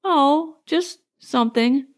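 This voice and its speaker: A woman acts out a cheerful little storybook bear, male and simple-minded. He talks slowly and eagerly in a high voice, bumbling over his words with innocent good nature. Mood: excited.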